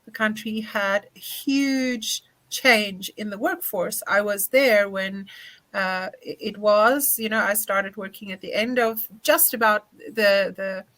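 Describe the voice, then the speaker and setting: A middle-aged woman with a South African accent answering earnestly and in a measured, emphatic way as a panelist. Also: medium pitch, fairly bright tone. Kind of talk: thoughtful panel discussion answer.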